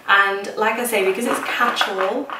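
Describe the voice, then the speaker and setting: A woman's voice: medium pitch, fairly even intonation, a little slow. A woman in her thirties with a British accent, chatting casually and informally to camera as a home vlogger. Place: indoors in a home kitchen and small utility room. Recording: echoey room, distant mic, noisy background.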